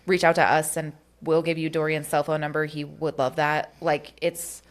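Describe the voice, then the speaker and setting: Woman co-host, deep low voice, candid, earnest political chat. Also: level tone, normal speed. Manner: candid, earnest, swears casually. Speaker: podcast co-host.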